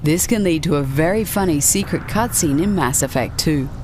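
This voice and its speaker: A woman's voice: low voice, moderate pace, noisy background. A woman reading scripted narration for a video list show about games.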